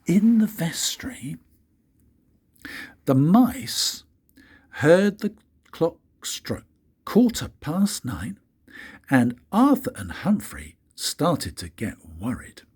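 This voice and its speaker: An older man reads a children's story like a grandfather, in an expressive, deliberate way with dramatic pauses and a husky voice. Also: on the high side. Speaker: grandfather reading stories for his grandchildren.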